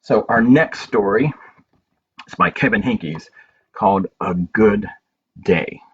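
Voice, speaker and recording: Male storytime reader, unhurried and simple for little kids, on a dull home recording with some background noise. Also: lowish voice. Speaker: library storytime host. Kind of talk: children's storytime read-aloud with singing. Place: at home, indoors.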